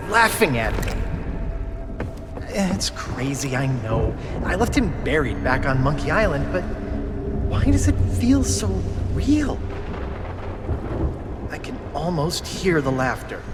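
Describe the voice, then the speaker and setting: A man playing an earnest, excitable young pirate hero who reads out his diary in a video game. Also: fairly high pitch, slow, long pauses. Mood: uneasy, haunted. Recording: loud music under the voice.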